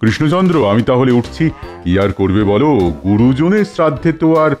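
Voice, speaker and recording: A man's voice: mid-range voice, sing-song, fairly quick, a little mellow, soft background music, natural voice. A man playing a smug rival king in a comedy cartoon, teasing and gloating as he mocks. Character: smug, mocking rival king taunting his host. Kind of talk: Bengali comedy cartoon voice acting.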